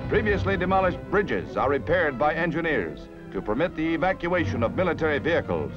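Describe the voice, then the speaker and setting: A man's voice: on the high side, sing-song, a little fast, over music. An American man reading scripted 1950s newsreel narration with a dramatic, solemn, emphatic announcer delivery. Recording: muffled vintage 1951 newsreel soundtrack.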